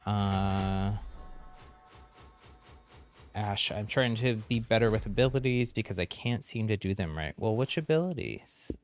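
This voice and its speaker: A man's voice: fairly low pitch, expressive, a little slow, long pauses, faint music behind. A man streaming a game, chatting casually with viewers, friendly and chatty with frequent ums and laughs. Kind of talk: casual chat while gaming on stream.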